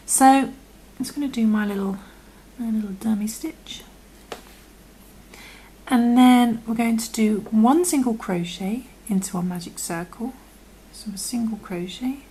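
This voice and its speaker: A woman with a croaky voice, patiently talking through a crochet tutorial and often dropping to a half-whisper as she works. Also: medium pitch, darkish tone, slight background noise. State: croaky from illness. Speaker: crochet instructor. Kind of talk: step-by-step tutorial.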